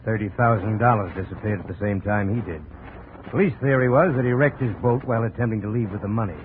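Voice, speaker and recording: Man playing a stern formal insurance boss, lowish voice, old radio drama, tinny with some hiss. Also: fast, lightly acted. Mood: businesslike, insistent. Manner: formal, directing, matter-of-fact. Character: businesslike insurance claims adjuster, insistent, skeptical man. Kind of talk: 1950 radio drama acting. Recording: thin, noisy old radio recording.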